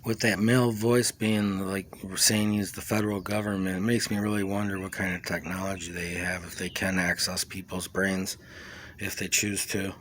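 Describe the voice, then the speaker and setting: A man recording an informal, rambling personal testimony, speaking in a halting, reflective way with repeated words and trailing asides. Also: low voice, flat delivery, fairly bright tone, a little hiss or hum. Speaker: vlogger sharing a personal testimony. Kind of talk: rambling, informal monologue recounting personal experiences.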